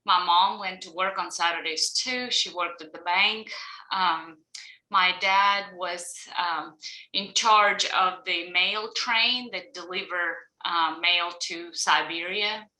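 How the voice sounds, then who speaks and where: A woman's voice: on the low side, takes it slowly, pushed and bright. A woman of about forty from Ukraine with a Ukrainian accent, giving a personal account as an interview guest on a video call. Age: around 40. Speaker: interview guest, college professor. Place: indoor room at home or office, on a video call. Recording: roomy, echoing video call.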